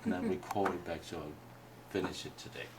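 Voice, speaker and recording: A man whose first language is Mandarin, teaching a painting workshop in a halting way with many restarts. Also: sits low, fairly even intonation. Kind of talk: hesitant workshop explanation. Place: indoor workshop room. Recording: distant mic with faint noise.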